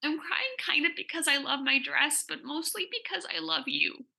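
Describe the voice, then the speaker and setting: Female reader for excitable teenage comic heroine: forceful bright tone, higher voice, gushing and self-mocking. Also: normal speed, vocal fry, full character voice. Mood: excited, gushing. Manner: gushing, chatty teenage exaggeration. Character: Lupe, excitable nerdy teenage girl, self-deprecating and dramatic. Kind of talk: dramatic comic reading, first-person teen narration.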